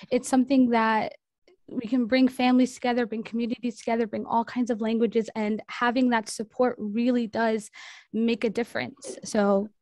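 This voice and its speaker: Woman on a video call, kinda high voice, halting and emotional, lots of ums, dry sound. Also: dry recording. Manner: halting, apologetic, full of fillers. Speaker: panelist. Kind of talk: emotional personal sharing.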